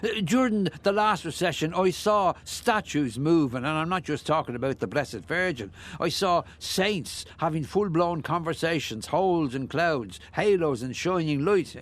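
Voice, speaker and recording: An actor playing a chatty, nosy older Irish ex-bricklayer who rambles and muses in a radio play. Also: male voice, on the high side, animated, normal speed, few pauses, lightly acted. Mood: rambling, bemused. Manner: garrulous, rambling, musing, with hesitations.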